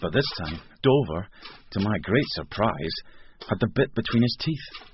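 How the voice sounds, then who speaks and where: A dry, ironic police sergeant narrates his case in a lowish voice with lively intonation. The radio drama sound is dull, with faint noise. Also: unhurried. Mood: dryly amused.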